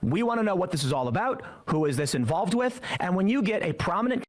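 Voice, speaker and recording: Emphatic higher-voiced male talk-show host. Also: animated, fast.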